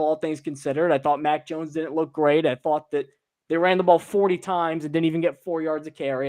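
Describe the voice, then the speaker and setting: A man hosting a sports podcast, giving blunt opinions and reading viewer comments from chat aloud. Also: high voice. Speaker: podcast co-host.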